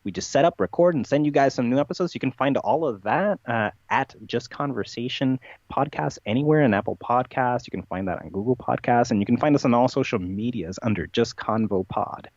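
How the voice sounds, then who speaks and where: A man's voice: mid-range voice, lively intonation, brisk, few pauses, a little mellow, dry recording. Man chatting in dull, lo-fi audio. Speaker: podcast guest. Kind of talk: conversational interview talk, playful tongue-in-cheek persona.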